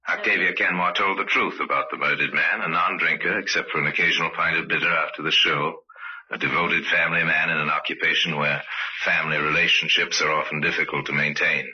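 A man narrating an old-time radio crime mystery in a grave, measured, dramatic storytelling manner. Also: rumbling low, fairly even intonation, breathy edge. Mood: grave, intriguing. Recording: dull old radio recording.

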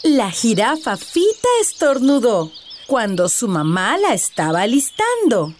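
A woman narrating a rhyming children's story in a slow, sing-song, very expressive way. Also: on the high side, slight background noise. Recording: narration over loud background music.

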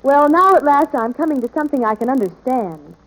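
A woman's voice: sits high, expressive, a little fast, poor audio. A woman co-hosting a scripted commercial dialogue in 1940s radio style. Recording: old 1940s radio broadcast.

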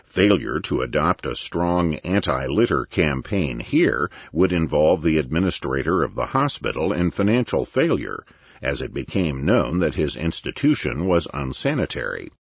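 A man reading a nonfiction essay as an audiobook narrator, with measured, deliberate and emphatic phrasing and a gravelly, husky voice. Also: very deep voice, very animated, no echo, dull audio.

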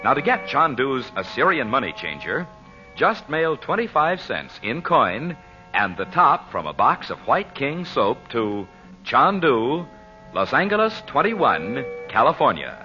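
An American man announcing and pitching on old-time radio in an emphatic, salesmanlike style, speaking straight to the listener. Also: big pitch swings, unhurried, bright, forceful tone, faint music behind. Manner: salesmanlike, emphatic, direct address. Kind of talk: 1940s radio advertising announcing. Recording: dull vintage radio broadcast recording.

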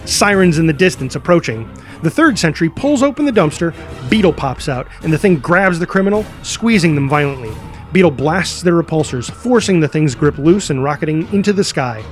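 A man with a fairly high voice narrates a screenplay's stage directions. His delivery is dramatic and punchy, built for action. Music runs underneath. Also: expressive, a little fast.

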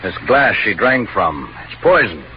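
An actor playing a grave, measured family doctor in a 1940s radio drama, speaking with hesitant, formal politeness. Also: male voice, on the low side, very animated, noisy background. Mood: grave, regretful. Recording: dull 1940s radio recording, music behind.

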